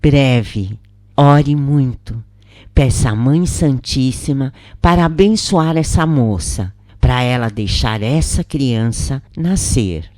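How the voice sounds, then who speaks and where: A woman with a Brazilian accent voicing a calm, solemn spirit mentor explaining a coming birth in a story. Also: very deep voice, slow, dry recording, full character voice.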